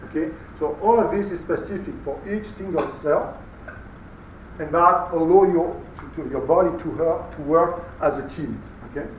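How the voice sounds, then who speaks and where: A man whose first language is French lectures from across a big echoey hall, his fairly high voice muffled and distant. He talks in halting runs, full of fillers and restarts. A faint hiss sits under the recording. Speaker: guest lecturer. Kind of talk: university lecture. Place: large lecture hall, indoors. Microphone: camera far from speaker.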